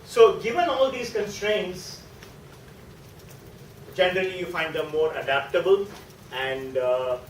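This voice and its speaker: A man's voice: high voice, measured pace, pauses often. A man from southern India with a South Indian accent, a native speaker of a South Indian language, giving an informal, explanatory guest lecture and often checking in with his listeners. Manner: conversational and explanatory, often checking in with a tag question. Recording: echoey room, distant mic, faint noise.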